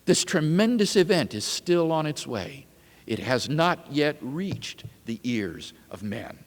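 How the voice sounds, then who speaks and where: Man acting out an anguished high-voiced madman prophet, echoing hall. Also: sing-song, takes it slowly, full character voice. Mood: resigned. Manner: declamatory, urgent rhetorical questions. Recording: reverberant lecture hall.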